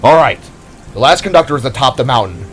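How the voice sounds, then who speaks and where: A man's voice: mid-range voice, expressive, fast, fairly bright tone, slight background noise, pitched above the performer's own voice, close to an everyday voice. A man voicing a commanding, formal metal-bodied elder-brother warrior in a fantasy audio drama, grave and authoritative. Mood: resolute.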